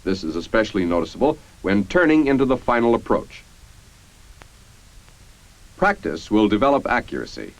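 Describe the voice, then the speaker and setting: An American man reading scripted 1950s military training-film narration in a formal, measured, instructional manner. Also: on the low side, very animated. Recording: dull, band-limited 1950s film soundtrack.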